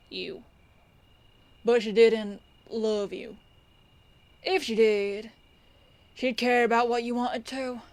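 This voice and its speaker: A woman playing a composed police detective in an audio roleplay, firm yet kindly, with a measured, folksy, knowing delivery. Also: medium pitch, close to an everyday voice. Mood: soft, consoling.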